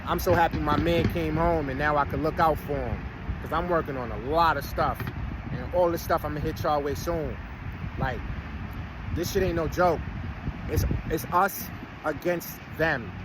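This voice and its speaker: A man's voice: fairly high pitch, normal speed. A man about to turn forty, talking casually to his followers in a rambling, streetwise way with preachy asides. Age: 30s. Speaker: vlogger. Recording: outdoor street noise, vehicles passing.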